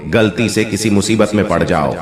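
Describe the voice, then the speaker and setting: A man's voice: fairly low pitch, moderate pace, roomy sound, a crowd in the background. A man narrating a scripted moral folk tale as a storyteller.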